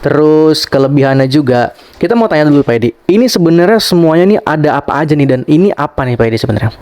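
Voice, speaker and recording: A man's voice: a little high, lively intonation, a little mellow. Eager Indonesian host man. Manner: eager, quick agreeing, many confirming tags. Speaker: channel host. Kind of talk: casual interview hosting.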